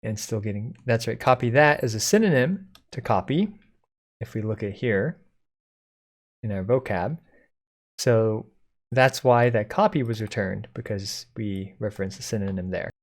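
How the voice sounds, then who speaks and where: Man casually walking through a software tutorial. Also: mid-range voice. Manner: informal, explaining step by step. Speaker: tutorial presenter. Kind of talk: casual code-along software tutorial narration. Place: indoors at a computer, screen recording.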